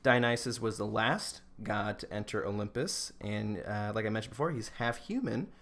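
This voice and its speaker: A man's voice: fairly low pitch, normal speed, fairly bright tone. Casual, joking young-sounding podcast guy. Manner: joking, informal, giggly. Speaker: podcast co-host presenting a rundown. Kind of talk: casual comedic presentation with banter.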